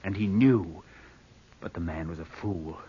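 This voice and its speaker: A brooding bitter nephew plotting away, low voice, old muffled radio drama sound. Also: male voice, unhurried, dark tone, breathy edge. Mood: brooding, calculating. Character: scheming bitter nephew heir, brooding, falsely affectionate. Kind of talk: old-time radio drama acting, confessional narration. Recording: dull vintage radio recording.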